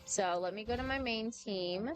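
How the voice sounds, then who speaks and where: A young woman with a slightly high voice chats on a game livestream. Her delivery is casual, rambling and informal, with game music in the background. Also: moderate pace. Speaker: game streamer. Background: video game music.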